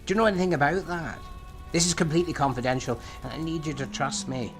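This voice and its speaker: A man playing a patient, probing machine therapist in an audio drama, speaking in a measured, formal, clinical way. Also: fairly high pitch, close to an everyday voice. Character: probing, patient male computerised therapist consulting databanks. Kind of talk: scripted audio drama dialogue. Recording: clear music behind the voice. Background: music.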